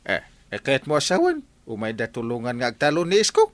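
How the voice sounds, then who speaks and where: A mid-pitched, very animated Ilocano-accented man's voice, played by an actor, as a curious village gossip. The radio comedy recording is close and dry. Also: brisk, no echo. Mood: curious, gossipy. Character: animated gossiping village man swapping news.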